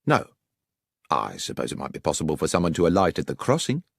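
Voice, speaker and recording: Man doing a low-voiced, dutiful country station master. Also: sing-song, fast talker, vocal fry, a bit bright, no room sound, studio quality, heavily acted. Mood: matter-of-fact. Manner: plain, dutiful, sure of himself. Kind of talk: audiobook character voice.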